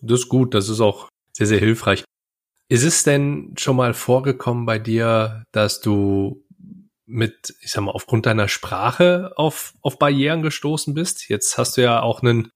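A man's voice: mid-range voice, measured pace. A man hosting a podcast interview, asking long questions with restarts. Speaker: podcast host.

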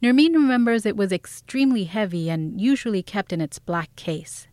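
A woman's voice: medium pitch, animated, normal speed, a little mellow, dry recording, clean studio sound. A woman reading scripted narration for an audio documentary as its host.

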